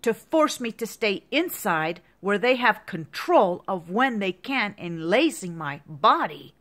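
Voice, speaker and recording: A woman's voice: medium pitch, fairly bright tone. Agitated woman in her fifties ranting. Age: 50s. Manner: agitated, repetitive ranting with profanity. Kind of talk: angry monologue to camera.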